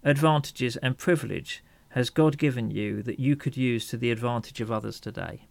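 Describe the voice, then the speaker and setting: Creaky-voiced man thinking aloud. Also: medium pitch, normal speed, no echo. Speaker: podcast co-host. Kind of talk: casual reflective discussion.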